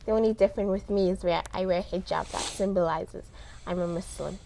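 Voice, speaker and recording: A woman's voice: on the low side, fairly even intonation, brisk, creaky, dark tone, a little hiss or hum. A young woman from South Africa whose mother tongue is Somali, answering interview questions conversationally and often chuckling as she explains. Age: young adult. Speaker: interview guest.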